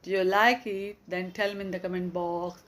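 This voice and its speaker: A woman narrating a children's story in a deliberate, measured storytelling manner. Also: lowish voice, little pitch movement.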